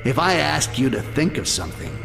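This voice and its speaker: An actor playing a sly, menacing, foul-mouthed goblin assassin in a video game, with a gravelly voice and a sardonic, muttering, snarling delivery. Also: male voice, mid-range voice, sing-song, a little fast, fairly bright tone, long reverb tail, soft background music. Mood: quietly menacing. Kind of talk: video game character acting.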